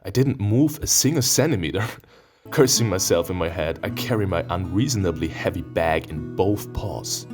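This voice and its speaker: A man with a fairly low, very animated voice reads a visual novel aloud. He is playful and expressive, dropping casual, sweary reactions between narrated passages. Music plays behind him. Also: moderate pace. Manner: expressive, playful, profane asides. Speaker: let's player.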